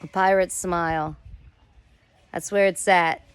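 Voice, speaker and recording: An American woman telling rambling personal stories casually as a host, in a drawn-out way with some swearing. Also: lowish voice, steady tone, smooth, soft background music. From United States. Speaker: host of a personal storytelling show.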